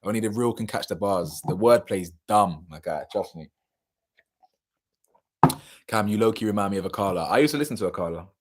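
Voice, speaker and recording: Young man, low-ish voice with some hoarseness; loose, slangy live-stream chatter with sudden loud bursts and trailing mumbles. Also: fairly quick, pauses often. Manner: slangy, animated, laughs often, drifts between loud bursts and mumbles. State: slightly hoarse. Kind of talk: casual live chat reading viewer comments.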